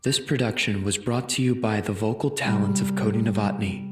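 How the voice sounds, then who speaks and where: A man reading the closing announcement of a radio drama in a slow, measured way. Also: lowish voice, steady tone. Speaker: narrator reading credits. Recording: roomy, distant, with piano music.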